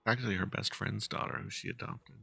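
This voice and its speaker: A man making casual, low-key, mumbled asides as he plays through a game. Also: a little fast, gravelly, bright, forceful tone, breathy edge, no room sound, soft background music. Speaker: game streamer reading dialogue aloud.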